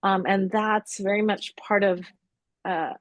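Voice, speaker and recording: A middle-aged woman from the Middle East, an exhibiting artist speaking thoughtfully and haltingly in a gallery talk. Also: mid-range voice, little pitch movement, no room sound, clean studio sound. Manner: thoughtful, halting, searching for words. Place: art gallery.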